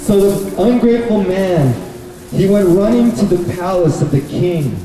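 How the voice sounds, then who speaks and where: A man's voice: high-pitched, lively intonation, unhurried, crowd noise. A man telling a puppet-show story to children in simple, repeated phrases, often putting questions to his listeners. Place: bookstore event space. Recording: very echoey hall, distant mic, noisy.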